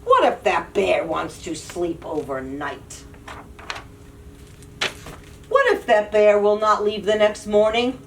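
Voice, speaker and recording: A woman reading picture books aloud to young children, with an animated, playful, questioning delivery. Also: mid-range voice, takes it slowly, creaky, long pauses. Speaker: children's librarian and storyteller. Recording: distant mic, audible background noise.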